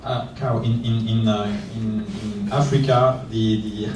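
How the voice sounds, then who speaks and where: A man's voice: lowish voice, fairly even intonation, unhurried, a little mellow. A male panelist in a conference discussion, speaking hesitantly and repeating words. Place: conference room. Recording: echoey room, voice from far off.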